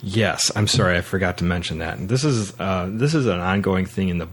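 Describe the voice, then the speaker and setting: A man hosting a podcast, casually talking through a film in a halting way, circling back to repeat his words. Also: low-pitched. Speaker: podcast host.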